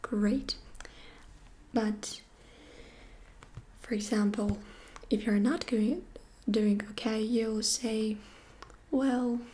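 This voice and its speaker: A woman whispers her way through a gentle ASMR language lesson. Her voice sits a little high and mellow, and she takes her time over every phrase, patient and unhurried. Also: slight background noise. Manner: soft whisper, slow patient explaining. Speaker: Russian language teacher.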